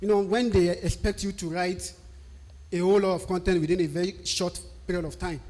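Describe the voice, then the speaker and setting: A man's voice: high voice, steady tone, a little fast, darkish tone. A man from Nigeria with a Nigerian accent, not a native English speaker, giving a live-stream talk that explains technical writing and often asks and answers his own questions. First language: a Nigerian language.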